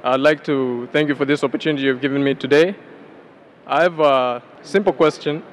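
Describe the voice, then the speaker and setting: A man's voice: mid-range voice, steady tone, a little fast, a little hiss or hum. Polite man from Tanzania asking a question. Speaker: audience member asking a question. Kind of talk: question from the floor.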